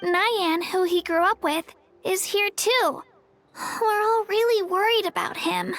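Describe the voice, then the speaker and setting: A sweet earnest game girl, really high youthful voice, friendly tribe kid. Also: steady tone, a little slow, fairly bright tone, faint music behind, heavily acted. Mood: worried. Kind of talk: English game voice acting.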